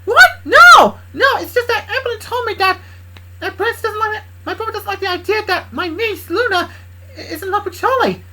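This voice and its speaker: A woman's voice: lively intonation, normal speed, sharp tone, a little hiss or hum, exaggerated voice, poor audio. A woman voicing a defensive, resentful young woman who rambles and stumbles over her words. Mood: flustered. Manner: rambling, stumbling, high and strained. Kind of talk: improvised fan story voicing.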